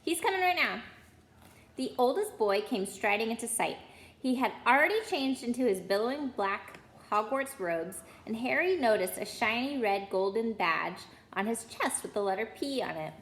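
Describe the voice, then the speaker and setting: A woman reads a storybook aloud to children. Her voice is fairly high, with a very lively melody that rises and falls expressively. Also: moderate pace.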